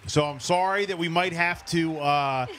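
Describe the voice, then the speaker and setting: A middle-aged male radio host with a fairly high voice. He talks casually and effusively, as in a morning show interview, close on a studio microphone. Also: moderate pace, a crowd in the background. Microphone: studio mic on boom arm, headset.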